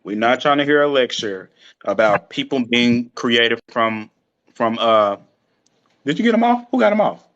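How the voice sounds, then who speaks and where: A man's voice: medium pitch, animated, normal speed, fairly bright tone, muffled recording. A male host in a casual live-stream chat who talks in a blunt, dismissive, slangy way.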